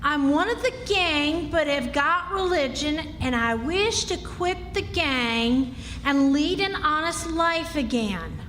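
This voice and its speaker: Woman as very high, fretful American country housewife. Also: lively intonation, slow, a bit bright, exaggerated voice. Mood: alarmed reading. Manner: fretful, theatrical. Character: Aunt Sally, anxious, excitable country housewife. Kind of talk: live radio drama acting. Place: historic club hall, live performance. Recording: echoey hall, faint background noise.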